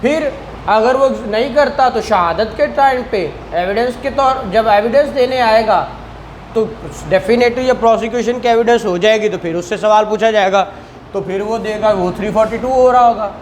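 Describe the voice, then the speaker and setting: A man's voice: high voice, moderate pace, poor audio. A man with a Pakistani accent lecturing as a law teacher, reading statute and explaining it step by step, often checking that listeners follow. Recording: clear background noise in the room.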